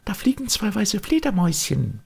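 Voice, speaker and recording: A tiny, curious storybook fawn, as a male reader puts it on. The voice jumps high and sounds childlike, naive and eager. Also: huge pitch swings, normal speed, gravelly, a little mellow, breathy edge, full character voice. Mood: excited.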